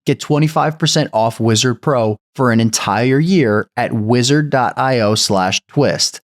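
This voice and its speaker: A man reading scripted sponsor ads for a podcast in a brisk, promotional way. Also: medium pitch, no room sound, studio quality. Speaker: ad reader.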